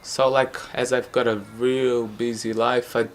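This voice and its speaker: A young man from Brazil with a Brazilian Portuguese accent, answering interview questions at home in a relaxed, hesitant way with many fillers. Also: medium pitch, unhurried. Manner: relaxed, hesitant, frequent fillers and light laughs. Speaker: futsal player. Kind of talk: casual interview.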